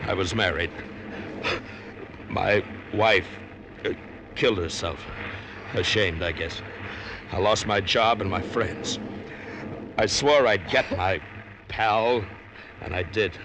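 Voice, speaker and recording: A man's voice: sits low, slow pace, pauses often, background noise. A man narrating and acting in an old radio drama, in a grim, weary first-person manner. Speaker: narrator and lead character. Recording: old radio recording with music beneath.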